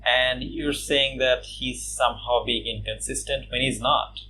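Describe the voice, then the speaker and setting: Mocking man with many filler pauses. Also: mid-range voice, steady tone, sharp tone, slight background noise, low-quality recording. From Bangladesh. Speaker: YouTube commentator. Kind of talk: casual response commentary.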